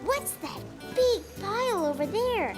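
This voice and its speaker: Curious little cartoon boy, super high voice, polite n eager, music behind. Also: big pitch swings, a little slow, exaggerated voice. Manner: polite, inquisitive. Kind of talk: children's cartoon acting.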